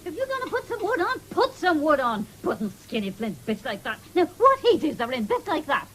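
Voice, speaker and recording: An actress playing a scolding farmer's wife in a radio drama, with a rural English accent and a bossy, grumbling manner. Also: female voice, high-pitched, sing-song, fairly quick. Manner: sharp-tongued, grumbling, bossy. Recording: faintly noisy 1970s radio recording.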